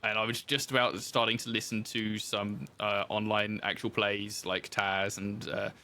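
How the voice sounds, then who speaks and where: A man's voice: fairly low pitch, flat delivery, moderate pace, bright, forceful tone. A man telling his story in casual interview chat, informal and self-deprecating, as a podcast guest who designs games.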